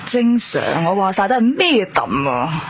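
A woman's voice: medium pitch, big pitch swings, unhurried, husky, exaggerated voice. A woman playing a caring, curious young woman recovering from a heart transplant in a Cantonese radio drama, speaking with a Hong Kong Cantonese accent.

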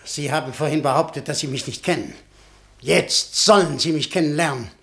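Imperious, impatient higher-voiced businessman. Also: normal speed, sharp tone. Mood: indignant, suspicious. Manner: brusque, commanding, interrogating. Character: imperious, impatient, powerful businessman, quick to anger. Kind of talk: German radio play acting.

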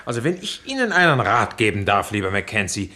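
Pompous, forceful district attorney. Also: male voice, fairly low pitch, big pitch swings, fairly quick. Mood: formal, emphatic. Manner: formal, emphatic, theatrical. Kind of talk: German satirical radio comedy acting.

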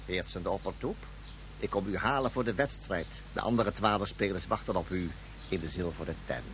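A man's voice: low voice, normal speed, noisy background, lightly acted. A man playing a self-important official in a radio drama, with a pompous, formal, ceremonious delivery. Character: stuffy, self-important male court official. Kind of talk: Dutch radio drama acting.

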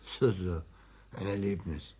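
A sickly, touchy barber's assistant in a 1960s German radio play. The voice is lowish and husky. He talks like an aggrieved pessimist, always on the defensive. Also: fairly even intonation, a little slow, warm tone. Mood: sullen.

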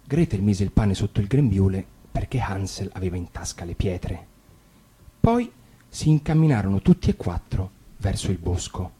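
A man's voice: low-pitched, normal speed, husky. A male actor narrating a fairy tale expressively for radio. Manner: warm storytelling with lively emphasis. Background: guitar music.

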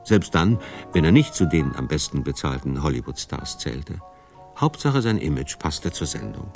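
A man's voice: extremely low pitch, very animated, normal speed, gravelly, a little mellow, soft background music. A man reading scripted narration for a radio documentary.

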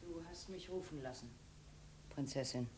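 An actress playing a bitter, defiant slave woman with a slightly husky voice and curt manner in a 1950s German radio drama. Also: deep voice, normal speed, a little mellow, rough recording. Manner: challenging, curt.

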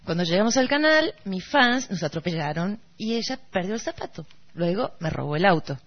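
A woman's voice: medium pitch, very animated, brisk, slightly rough. A woman acting a vain, courteous, slightly bumbling male singer in an Argentine radio play. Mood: self-important. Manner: courteous, hesitant, self-important. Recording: dull lo-fi radio recording.

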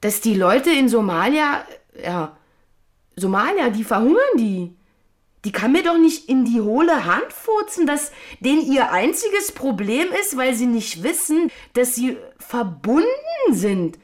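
A woman's voice: on the high side, very animated, a little slow. A woman acting a brash, confused and stubborn hired reader in a radio drama, speaking blunt, stammering street slang. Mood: indignant, confused.

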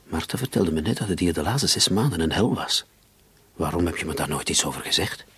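Radio drama: troubled man under suspicion. Low register, heavily breathy, earnest, defensive. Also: animated, fairly quick, fairly bright tone, exaggerated voice. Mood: reproachful.

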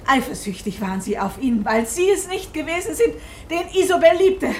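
Casting: shrewd, agitated female amateur sleuth, high urgent voice, radio drama with light background noise. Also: big pitch swings, moderate pace. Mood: accusing, bitter. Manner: urgent, emphatic, dramatic delivery. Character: crime novelist woman, shrewd, accusing, agitated amateur sleuth. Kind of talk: German radio drama acting.

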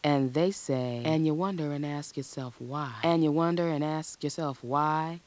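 A voice reciting literary lines deliberately in an art radio piece, repeating phrases. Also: medium pitch, a little mellow, natural voice.

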